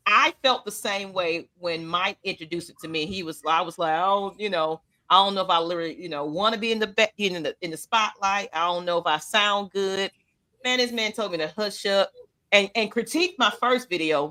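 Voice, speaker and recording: A woman, co-hosting a casual fan chat and laughing often. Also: sharp tone. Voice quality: low-toned voice. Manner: laughs often, loose and chatty. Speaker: podcast co-host.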